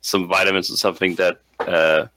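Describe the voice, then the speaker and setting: Bright-voiced man thinking aloud in a game. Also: sits low, little pitch movement, a little fast. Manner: hesitant, repeating words while thinking aloud. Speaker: tabletop game player. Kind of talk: casual tabletop roleplay chat.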